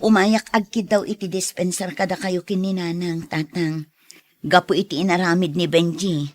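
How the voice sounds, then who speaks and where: A woman's voice: on the low side, steady tone, moderate pace, no room sound, lightly acted. A woman playing a dutiful, long-suffering wife and mother in an Ilocano radio drama, earnest and reproachful. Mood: caring, earnest.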